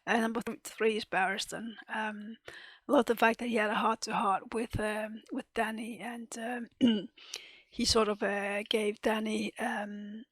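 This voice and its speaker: A woman reviewing a TV episode in casual chat, losing her voice, often clearing her throat and hesitating with ums. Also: a little high, flat delivery, unhurried, no echo. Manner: hesitant, many ums and restarts, soft half-whispered delivery. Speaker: TV reaction and review host.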